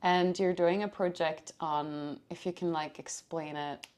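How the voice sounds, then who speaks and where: A woman host asks her guest questions in a loose, friendly chat, laughing easily along the way. Her voice sits a little low. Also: fairly even intonation, a little slow, a little mellow, clear, resonant tone. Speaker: interviewer, host. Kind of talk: casual interview chat.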